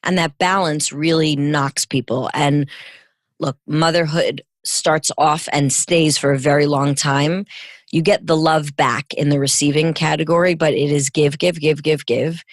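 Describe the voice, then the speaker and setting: Raspy, hoarse New York woman. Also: low voice, fairly even intonation, unhurried, dry recording, studio quality. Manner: emphatic, expansive and outgoing. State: hoarse from illness. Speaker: podcast guest. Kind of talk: casual interview chat.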